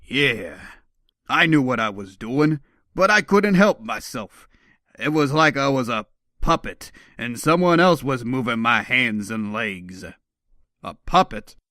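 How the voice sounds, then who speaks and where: A man's voice: medium pitch, animated, a little slow, bright, forceful tone, dry recording, clean studio sound. Man doing a hapless small-time crook. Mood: bewildered. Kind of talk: comic book performance.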